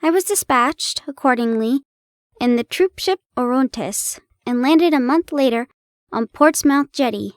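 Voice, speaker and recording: A young woman in a playful roleplay, chatting warmly to the listener and reading an old story aloud, giggling and stumbling over old place names. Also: high-pitched, lively intonation, measured pace, no room sound, clean studio sound. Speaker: audio roleplay performer.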